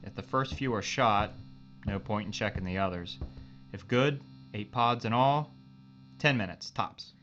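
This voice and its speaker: An actor playing a brisk, upbeat crewman in a science fiction audio drama, recorded with a dull sound. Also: lowish voice, fairly bright tone, soft background music. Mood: businesslike, friendly. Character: brisk, upbeat boy-scout male crewman. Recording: dull band-limited sound.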